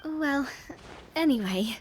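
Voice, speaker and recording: A woman's voice: high-pitched, animated, takes it slowly, soft background music, poor audio. A woman playing a spirited young woman in a video game, with a breezy, informal way of talking. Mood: awkwardly breezy.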